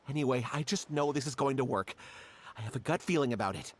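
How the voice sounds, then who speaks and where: A man's voice: mid-range voice, lively intonation, a little fast, a little hiss or hum, close to an everyday voice. A man playing an earnest, jumpy, stubbornly hopeful struggling writer in a video game, fumbling and pleading. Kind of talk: animated game dialogue.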